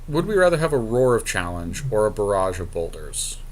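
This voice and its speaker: A man co-hosting a podcast, chatting casually and informally as he deliberates aloud over a card game draft. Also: on the low side, very animated. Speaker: podcast co-host.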